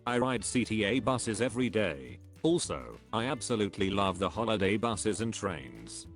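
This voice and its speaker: A man's voice: lowish voice, big pitch swings, normal speed, faint music behind. A man's synthetic text-to-speech voice reading written comments aloud as scripted narration, with evenly phrased delivery.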